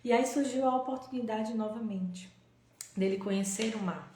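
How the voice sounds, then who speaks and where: A woman, a holistic therapist, hosting a live stream in a casual, gentle way and telling a story, often checking in with her listeners. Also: on the high side, moderate pace, a little hiss or hum. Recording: echoey room.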